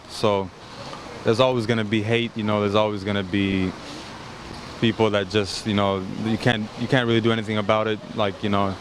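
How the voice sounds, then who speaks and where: A man's voice: fairly low pitch, a little hiss or hum. A man answering casually with many fillers. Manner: casual, filler-heavy, flat. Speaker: interviewee.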